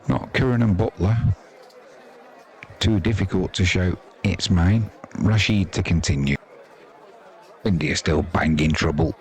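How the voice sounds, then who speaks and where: A man's voice: sits low, measured pace, pauses often. A man giving chatty, informal live cricket commentary with wry, colloquial asides. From England. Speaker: cricket commentator and stream host. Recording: crowd noise behind commentary. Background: stadium crowd.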